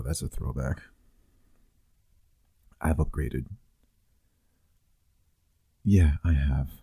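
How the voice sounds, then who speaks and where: A man's voice: rumbling low, warm tone, breathy edge, no room sound, full character voice. A man playing a teasing, affectionate boyfriend in an intimate, casual ASMR roleplay, murmuring playfully.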